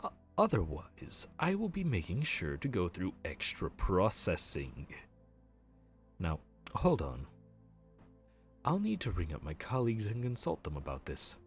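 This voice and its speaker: A weary grim reaper clerk with a very deep voice that rises and falls widely as he talks, played by a man. He speaks slowly, like a sarcastic, put-upon bureaucrat, with faint music behind him. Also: wildly swooping pitch, exaggerated voice. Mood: exasperated. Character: weary male grim reaper running the underworld queue. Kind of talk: audio roleplay.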